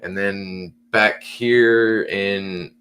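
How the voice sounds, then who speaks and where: A man's voice: on the low side, fairly even intonation, a bit bright. A man hosting an interview podcast, rambling, stopping and restarting often as he asks his questions. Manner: rambling, halting, full of restarts and fillers. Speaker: podcast host.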